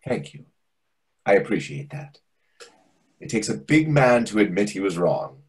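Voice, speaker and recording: Snippy waiter with swooping, husky voice man acting. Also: on the low side, very animated, a little slow, full character voice. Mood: huffy. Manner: catty, dramatic, sarcastic. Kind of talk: readers theatre comedy acting. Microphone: video call.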